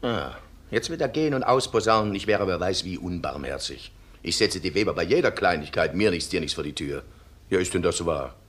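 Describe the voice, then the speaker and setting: Domineering factory boss, bright forceful voice, very lively pitch, self-righteous, blustering, radio drama. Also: male voice, brisk. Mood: self-righteous, lecturing. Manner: blustering, commanding, rhetorical. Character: domineering, self-righteous mill owner, irritable and self-pitying. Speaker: actor. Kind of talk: German radio play acting.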